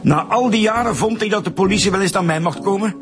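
An actor with a Flemish accent playing a courteous, bitter murder suspect in a formal, theatrical radio drama manner. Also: male voice, high-pitched, quick pace, over music, natural voice. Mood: courteous, insistent.

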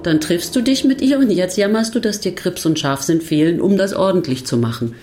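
An actress playing a skeptical, needling partner, blunt and teasing, in radio drama dialogue. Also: female voice, lowish voice, expressive, a little fast, darkish tone, close to an everyday voice. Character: skeptical, needling woman close to the writer.

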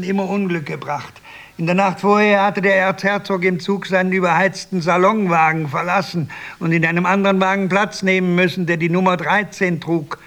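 An actor playing a grumpy, pedantic eighty-year-old husband, querulous and self-important, in a German radio play. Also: male voice, high voice, fairly bright tone. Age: older.

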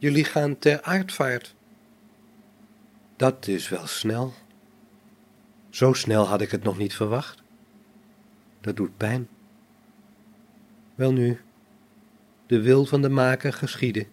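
Male actor as a radio play robot, slow, solemn, formal, serenely devout, medium-pitched. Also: close to an everyday voice. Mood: solemn pity. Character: serene, pious, male robot, devoted to its maker.

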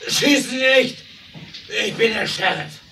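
An actor playing an overpowered sheriff who pleads in a strained, halting way in a German audio drama. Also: male voice, high voice, animated, recorded from a distance, noisy background. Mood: alarmed, defiant. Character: overpowered male sheriff pleading for lawful justice. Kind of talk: German audio drama acting, 1970s adventure record.

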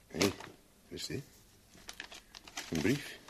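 An actor playing a cool, determined private investigator with a dry, self-assured manner in a 1970s radio play. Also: male voice, low-pitched, big pitch swings, takes it slowly, heavily acted. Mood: musing, searching. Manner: dry, self-assured, talks to himself while searching. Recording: noisy old radio drama recording.

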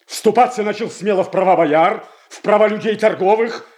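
An actor playing a proud, indignant prince in a classical radio tragedy, declaiming with blunt, weighty deliberation. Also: male voice, high voice, normal speed, darkish tone, lightly acted. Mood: indignant, accusing. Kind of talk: classical Russian stage tragedy acting for radio.

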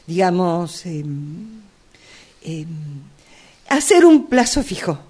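A woman with an Argentine accent playing an earnest, stubborn, absurd customer in an amateur radio play, speaking haltingly. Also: on the low side, sing-song, a little mellow, husky, heavily acted. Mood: hesitant, earnest. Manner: hesitant, halting delivery.

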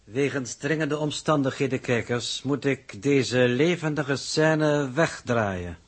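Husky, formal, unflappable spaceship advisor. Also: male voice, mid-range voice. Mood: dryly courteous. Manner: formal, measured, stilted. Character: courteous, unflappable male advisor, formal and precise. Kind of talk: 1960s Dutch radio drama acting. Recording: 1960s radio drama recording.

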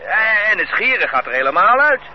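A man's voice: high voice, very animated, brisk. An actor playing a worn-out 57-year-old barber who grumbles that his trade is dying, in a radio drama. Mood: grumbling. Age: 50s. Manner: complaining, sighing chatter. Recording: dull old radio play recording with hiss.

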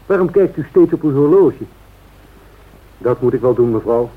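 An actor playing a genial airline captain in a radio play, a wry and chatty storyteller. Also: male voice, mid-range voice, lively intonation, normal speed, natural voice. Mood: amused, wry. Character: genial male airline captain telling anecdotes. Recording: tinny narrow-band old radio recording.

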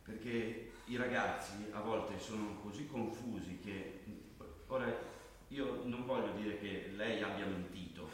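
A man's voice: on the low side, measured pace, natural voice. An actor playing a flustered, conciliatory father in a stage drama, hesitant and apologetic, repeating himself. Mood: hesitant, careful. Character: flustered conciliatory father at a school meeting, pleading. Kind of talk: theatrical stage drama acting. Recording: echoey hall, distant mic, faint noise.

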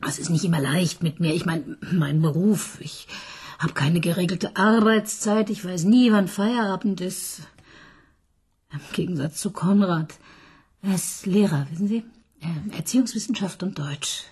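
An actress playing a middle-aged married woman detective who talks in a dry, probing and sometimes hushed way. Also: fairly low pitch, a little slow, slightly rough. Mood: wistful, hushed. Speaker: police detective. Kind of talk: radio play acting.

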